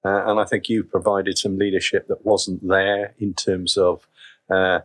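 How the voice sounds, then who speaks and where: A middle-aged man from South Wales speaks in a low, husky voice, with only a faint trace of a Welsh accent left. He talks in a measured, reflective way as a chief executive being interviewed, often restarting his sentences. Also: little pitch movement. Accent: faint Welsh, mostly neutral. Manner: measured, with frequent restarts and fillers.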